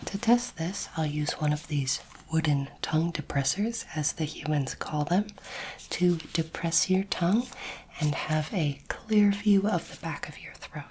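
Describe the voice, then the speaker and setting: Whispering woman, soft ASMR doctor roleplay, noisy recording. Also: deep voice. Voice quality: breathy, mostly unvoiced whisper. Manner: gentle, reassuring, measured, formally polite. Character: courteous, curious alien space doctor examining a human. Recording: noisy close recording, clicking sounds.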